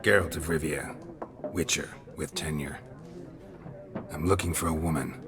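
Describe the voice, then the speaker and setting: An actor playing a stoic, world-weary monster hunter in a video game, speaking tersely and deadpan in a gravelly voice. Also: male voice, very deep voice, a little slow, noisy background. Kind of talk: video game cinematic acting. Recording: loud music behind the voice. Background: loud game music.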